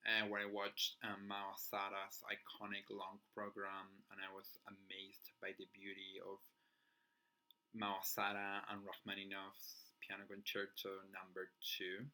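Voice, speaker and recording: A man's voice: sits low, completely flat delivery, unhurried, pushed and bright, rough recording. A man in his twenties from Chile with a Chilean Spanish accent, speaking English as a second language, chatting casually as a figure skating vlogger with many fillers and restarts. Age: 20s. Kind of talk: casual channel introduction.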